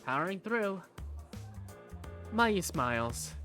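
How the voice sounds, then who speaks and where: An easygoing young waiter, voiced by a woman, tells his story in the first person. The voice sits a little low for a woman and moves up and down in a lively way, with faint music behind it. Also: slow. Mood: easygoing, wry. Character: Simon, easygoing young male bar waiter narrating his day. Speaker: narrator and lead in a fan dub. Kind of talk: first-person visual novel narration.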